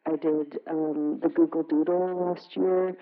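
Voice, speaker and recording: A woman artist from Canada speaking casually on a panel, relaxed, with many fillers. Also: low voice, measured pace, soft background music. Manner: relaxed, filler-heavy, laughs between points. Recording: tinny phone-like sound.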